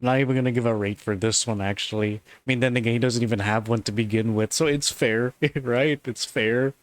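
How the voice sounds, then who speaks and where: A man talks through his opinions in a relaxed, rambling way, leaning on little fillers and breaking into a laugh here and there. His voice sits at an ordinary middle pitch. The recording is dry and close, with no room echo. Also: a little fast. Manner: loose and chatty, full of "like" and "you know", laughs now and then. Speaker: video commentator. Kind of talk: casual commentary.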